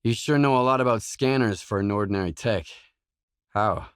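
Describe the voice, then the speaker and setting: An actor playing a gruff, guarded, hard-bitten mercenary in a video game, speaking in terse, blunt, businesslike lines. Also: male voice, on the low side, moderate pace, dry recording, studio quality, natural voice. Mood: inquisitive, guarded.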